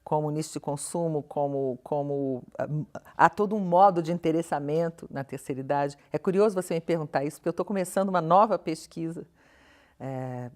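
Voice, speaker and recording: A woman's voice: low voice, a little fast, a little mellow. A Brazilian woman speaking as an academic interview guest, explaining her views in an articulate, questioning way with emphasis on key words. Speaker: interview guest, professor and researcher. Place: television studio interview.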